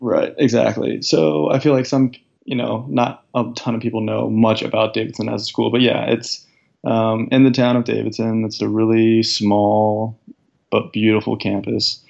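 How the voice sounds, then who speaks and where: Young man, deep raspy voice, heavy gravel, low pitch, reflective casual talk, muffled lo-fi recording. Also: level tone, normal speed, darkish tone. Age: 20s. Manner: reflective, thoughtful, many fillers. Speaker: guest, professional soccer player. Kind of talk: casual interview conversation. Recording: dull lo-fi sound.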